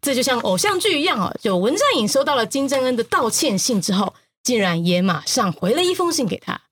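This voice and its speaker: A woman with a Taiwanese accent telling news stories in an expressive, teasing podcast style. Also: fairly high pitch, a little fast, breathy edge. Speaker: news podcaster, guest storyteller. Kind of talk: playful news storytelling.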